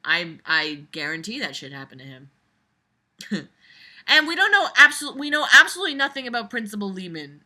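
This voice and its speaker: A woman's voice: medium pitch, sing-song, moderate pace, pushed and bright. A woman giving casual, sarcastic commentary as she reads a book aloud, blunt and exasperated, swearing freely. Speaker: host reading a book aloud and critiquing it. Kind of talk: casual commentary mixed with mocking read-aloud.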